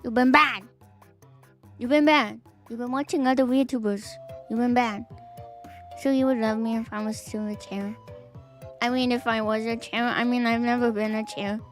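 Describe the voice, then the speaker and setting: A woman's voice: on the high side, fairly even intonation, moderate pace, long pauses, soft background music. A woman streamer reading viewer fanfics aloud on a live stream, playful and giggly, with teasing asides.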